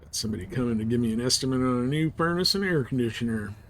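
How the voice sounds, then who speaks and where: A man's voice: mid-range voice, expressive, racing, a little hiss or hum. A man chatting informally and colloquially with viewers while he plays a game on a livestream. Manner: laid-back, informal, colloquial. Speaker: live game streamer. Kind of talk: casual gameplay chat with stream viewers.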